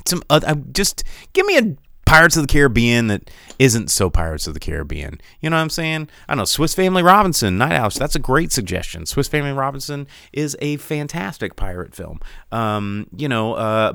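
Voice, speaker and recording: A man's voice: on the low side, vocal fry, fairly bright tone, no echo. A man hosting a casual live-streamed podcast, rambling from thought to thought with lots of restarts and reading out viewer comments. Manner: rambling, digressive, many restarts. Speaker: podcast host.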